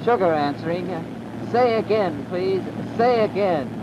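A man's voice: high-pitched, animated, unhurried. An actor playing a young male fighter pilot, making formal, clipped radio calls. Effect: radio transmission. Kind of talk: 1940s film acting. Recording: distant, noisy, dull old film radio sound.

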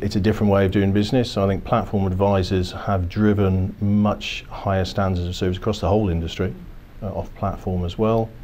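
A man in his fifties, a financial services executive, giving measured, corporate explanations in a seated office interview, often restarting his phrases. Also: deep voice, mellow, slight background noise. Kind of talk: business interview. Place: office, indoors.

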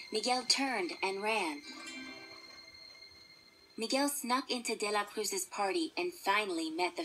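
A woman's voice: mid-range voice, fairly bright tone, rough recording. A woman narrating a children's story from a script, as a read-along storybook narrator. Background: instrumental music.

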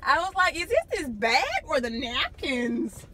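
A woman's voice: high voice, animated, measured pace, pushed and bright. A woman, chatting casually inside a car. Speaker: prank vlogger. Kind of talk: casual chat. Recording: noisy car interior, phone camera audio.

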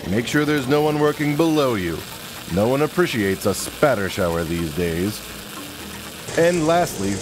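A young man presenting a scripted workplace safety video in a wry, deadpan joking manner, recorded in a large industrial workshop. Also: mid-range voice. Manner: wry, deadpan jokes between safety tips. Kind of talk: scripted comedic safety narration.

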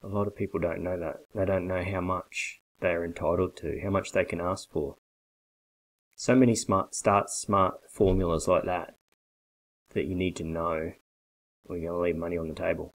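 A man in his forties giving a blunt, persuasive sales pitch straight to a webcam from a small bare office. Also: steady tone, moderate pace, low-quality recording. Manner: blunt, persuasive, emphatic with hand gestures. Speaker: coffee business consultant. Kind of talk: direct-to-camera sales pitch. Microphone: webcam at desk.

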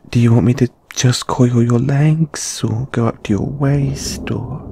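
A British man voicing a shy, flustered, affectionate male lamia flatmate in a halting, intimate audio roleplay. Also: medium pitch, creaky, slightly rough, dry recording, heavily acted. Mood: contented, tender. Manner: halting, bashful, stammering.

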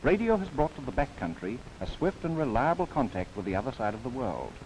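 A man's voice: medium pitch, very animated, brisk, darkish tone, a little hiss or hum, low-quality recording. A man narrating a scripted 1930s government documentary in formal, theatrical phrasing with wry asides, on an old film soundtrack.

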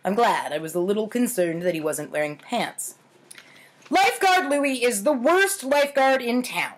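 High, animated American woman storyteller. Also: normal speed, slight background noise. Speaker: host of a storytime series. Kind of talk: reading childhood stories aloud with casual commentary. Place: home kitchen, indoors.

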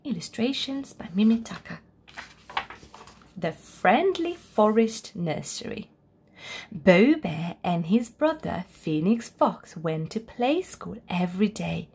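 A woman reading a children's picture book aloud as a storyteller, in an expressive, deliberate way. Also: medium pitch, creaky, breathy edge. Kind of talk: scripted children's story narration.

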